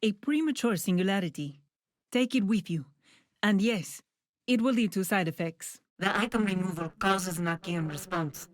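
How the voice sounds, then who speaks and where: A woman acts as a composed scientist and tactical guide in a video game, her voice at a middle pitch. She gives instructions in a measured, businesslike way, focused yet encouraging. The sound is close and dry, as from a studio. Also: no echo, close to an everyday voice. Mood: focused, instructive. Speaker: video game character giving mission instructions. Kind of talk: scripted video game voice lines.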